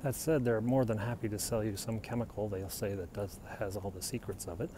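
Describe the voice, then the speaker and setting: A man's voice: lowish voice, steady tone, fairly quick. A middle-aged man from the United States, chatting casually on a live stream and answering viewers' questions as he walks outdoors, filmed on a handheld phone. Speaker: livestreamer. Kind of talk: casual live-stream chat while hiking. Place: outdoors on a hilltop walk. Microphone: phone held while walking. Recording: noisy outdoor phone recording.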